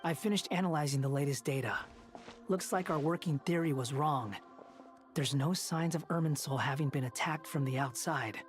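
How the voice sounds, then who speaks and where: An actress playing a brisk, impatient data analyst in a story game, blunt and businesslike. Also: female voice, deep voice, natural voice. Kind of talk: scripted game story dialogue. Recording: game music behind the voice.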